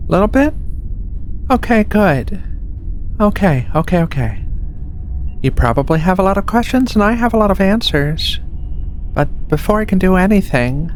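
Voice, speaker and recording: A woman voicing a giant furry alien researcher in a soft-spoken ASMR roleplay, reassuring, halting and a little flustered. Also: fairly low pitch. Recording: clear background noise, ship ambience.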